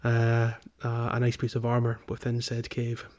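A man's voice: mid-range voice, completely flat delivery, moderate pace, darkish tone, highs cut off. A man co-hosting a casual video game podcast, explaining things in a hesitant, stop-and-start way. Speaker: podcast co-host.

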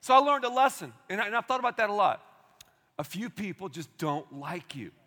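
Preacher guy, loud voice, high and swooping, echoing through a big church. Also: fairly quick. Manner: energetic, conversational preaching with audience asides. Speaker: pastor. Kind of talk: sermon. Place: large church auditorium. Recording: long hall reverb.

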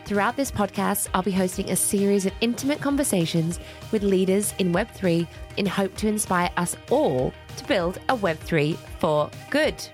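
A woman's voice: medium pitch, expressive, moderate pace, faint music behind. A woman hosting a podcast, reading a scripted introduction and asking interview questions with enthusiasm. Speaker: podcast host.

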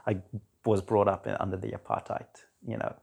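A man in his forties from South Africa with a South African accent, chatting casually as a podcast guest with a relaxed, rambling manner full of fillers. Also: sits low, a little fast, gravelly. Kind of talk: casual conversation.

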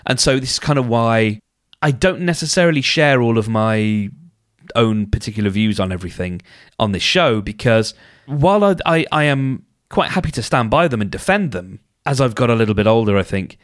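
A hoarse man hosting a casual solo podcast, thinking aloud and often restarting his sentences, talking close to the mic. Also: medium pitch, lively intonation, normal speed, no room sound. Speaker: podcast host.

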